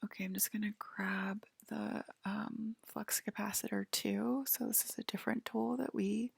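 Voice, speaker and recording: A woman whispering softly and soothingly in an improvised ASMR sci-fi roleplay, playing a calm alien abductor tending to a patient. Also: mid-range voice, no room sound, clean studio sound. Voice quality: breathy whisper. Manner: soft, slow, soothing. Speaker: ASMR performer. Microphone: close microphone, dry.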